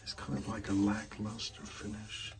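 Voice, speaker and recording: A man speaking softly in an ASMR roleplay as an art gallery dealer, pausing mid-phrase and often slipping into a whisper. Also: fairly low pitch, completely flat delivery, distant mic, noisy background. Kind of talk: soft-spoken ASMR roleplay, partly whispered.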